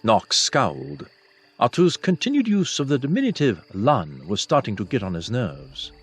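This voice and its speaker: A man's voice: mid-range voice, huge pitch swings, moderate pace, slightly rough. A man reading a fantasy novel aloud as scripted audiobook narration. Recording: soft music behind the voice.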